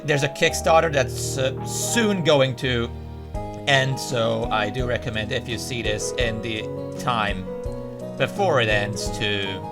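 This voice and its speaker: A man hosting a let's play, chatting casually and reading the game's text aloud. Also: mid-range voice. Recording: music behind the voice. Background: game's guitar music.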